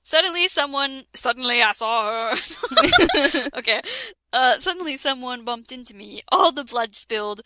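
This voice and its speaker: A woman's voice: fairly high pitch, animated, moderate pace, heavy background noise. A woman giving a casual comic reading of a parody fan story, giggling and breaking off for joking asides. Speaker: reader of a parody fan fiction.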